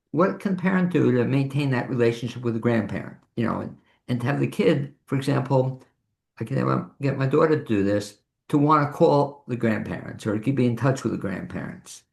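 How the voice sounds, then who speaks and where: A man's voice: mid-range voice, creaky, a little mellow. A man hosting an interview who asks friendly, casual questions and laughs often. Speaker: interviewer host.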